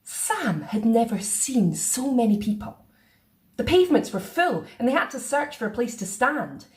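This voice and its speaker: A woman reading a children's picture book aloud in a playful, expressive way. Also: on the high side, moderate pace. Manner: expressive, sing-song. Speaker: storyteller.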